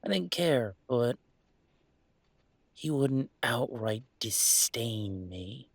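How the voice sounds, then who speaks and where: A man playing an upset young werewolf venting about his father in an intimate audio roleplay, speaking in a halting, emotional, rambling way. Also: medium pitch, big pitch swings, no room sound, exaggerated voice. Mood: weary, hurt.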